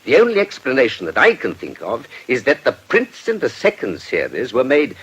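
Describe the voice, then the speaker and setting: Shrewd, husky English gentleman investigator. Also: medium pitch, wildly swooping pitch, normal speed, dull audio, full character voice. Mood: reasoning, emphatic. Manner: precise, urbane, formally polite. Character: shrewd, courteous male investigator, doctor and lawyer, quietly sly. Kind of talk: British radio drama acting.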